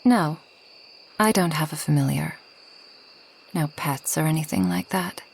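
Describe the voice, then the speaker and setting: A woman playing a reclusive, ageless forest witch in a soft ASMR roleplay, gentle and wry, often slipping toward a whisper. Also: low-pitched, sing-song, a little slow, creaky, slight background noise, heavily acted. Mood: soft, wistful. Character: reclusive, centuries-old kind but proud forest witch.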